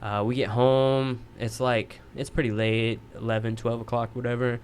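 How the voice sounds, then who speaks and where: A man spins a long, winding story for his podcast co-hosts. He talks casually and swears freely, and he makes fun of himself at a middling pitch. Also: level tone, moderate pace, slight background noise. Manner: rambling, profane, self-mocking. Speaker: podcast co-host telling a story.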